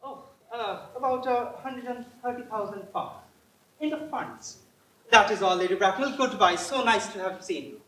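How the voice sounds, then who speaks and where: A performer plays a Victorian gentleman guardian in stage comedy. The manner is formally polite yet stubborn and fussily indignant, a little halting. The voice sits far from the microphone, swimming in heavy echo. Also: mid-range voice, natural voice. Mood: flustered, brisk. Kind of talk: theatrical stage comedy acting. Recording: very echoey distant stage play recording.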